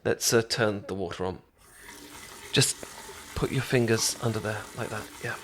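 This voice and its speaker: A British man playing a caring, chatty best friend in an intimate ASMR roleplay, talking casually with halting, stammering explanations. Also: fairly low pitch, background noise, lightly acted. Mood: gentle, reassuring. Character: caring, chatty male best friend teaching sushi making.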